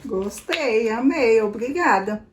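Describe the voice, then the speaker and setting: A middle-aged woman, the vlogger's mother, reacting softly and unhurriedly at home. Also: on the high side, animated, takes it slowly, slight background noise. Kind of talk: casual home chat.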